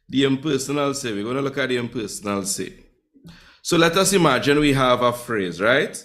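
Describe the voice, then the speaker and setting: A man's voice: mid-range voice, lively intonation, normal speed, long pauses, a bit bright. A man teaching a live online Spanish class, chatty and teasing with his students.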